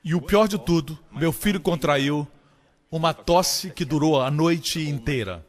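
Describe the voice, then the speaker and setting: A man preaches with strong emphasis, his fairly high voice leaping upward when he drives a point home. A long reverb tail hangs behind every phrase, as if the sound carries through a large hall. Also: measured pace. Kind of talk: Portuguese-dubbed sermon.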